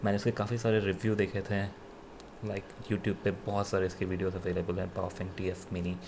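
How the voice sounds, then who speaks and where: A man with an Indian accent giving an unscripted gadget review in a halting, self-correcting way, switching between two languages. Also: deep voice, monotone, vocal fry, noisy background. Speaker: product reviewer. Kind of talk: unscripted gadget review, mixing Hindi with English.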